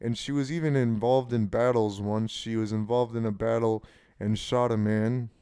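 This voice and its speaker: A man in his twenties with a deep voice, rambling frankly and casually in a personal monologue, swearing now and then. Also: steady tone, moderate pace, dark tone, clean tone, no room sound, clean studio sound. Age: 20s. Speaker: channel host.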